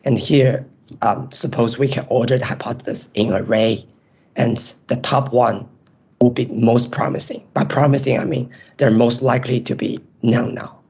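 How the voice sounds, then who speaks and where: A man with a hoarse, nearly lost voice, presenting his research in a webinar and explaining step by step over a video call. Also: medium pitch, fairly even intonation, moderate pace, darkish tone. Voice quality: raspy, strained. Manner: explains step by step with frequent ums.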